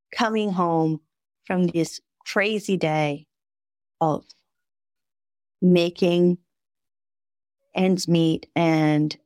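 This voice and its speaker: A woman's voice: lowish voice, darkish tone, dry recording, clean studio sound. A woman in her fifties from the Dominican Republic, whose first language is Spanish, chatting as a podcast guest and telling vivid family stories with frequent "right?" asides. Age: 50s. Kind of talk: casual conversation, personal storytelling.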